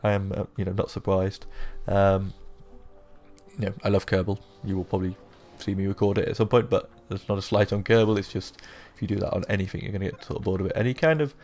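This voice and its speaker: Croaky low-voiced man narrating gameplay. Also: fairly even intonation, fast, a little mellow, soft background music. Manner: rambling, self-deprecating, with many fillers. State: croaky from a sore throat. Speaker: gaming YouTuber. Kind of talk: casual let's-play commentary.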